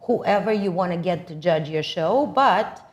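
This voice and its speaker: A woman's voice: lowish voice, expressive, measured pace, dull audio. Emphatic woman chatting with opinions. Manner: emphatic, assertive. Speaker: talk show host and competition judge. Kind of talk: casual opinionated live chat.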